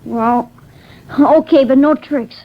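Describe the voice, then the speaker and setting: Little boy runaway, high voice, terse and stubborn, talks tough, muffled old 50s radio drama. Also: takes it slowly. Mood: defiant, tough. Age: child figure, about nine. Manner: terse, slangy, defiant. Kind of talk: 1950s radio drama acting. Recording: muffled vintage 1950s radio recording.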